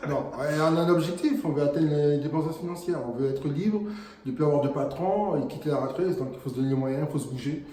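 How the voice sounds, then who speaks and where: A man's voice: fairly high pitch, fast talker, warm tone. A man from French Guiana, an investor guest speaking earnestly and motivationally in a casual interview, with frequent hesitant fillers. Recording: echoey room.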